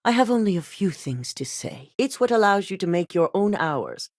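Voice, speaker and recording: An actress voicing a calculating corporate executive who hands out missions in a video game, speaking in a composed, clipped, authoritative way. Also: female voice, medium pitch, big pitch swings, moderate pace, dry recording, studio quality. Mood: cold. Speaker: corporate boss giving missions.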